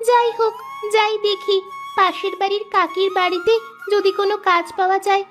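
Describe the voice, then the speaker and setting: Very high-pitched orphan village girl. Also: fairly even intonation, brisk, a bit bright. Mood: eager. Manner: exclaiming, talks to herself aloud. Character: poor orphaned village girl, plucky and earnest. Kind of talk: Bengali moral-story cartoon voice acting. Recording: music behind the voice.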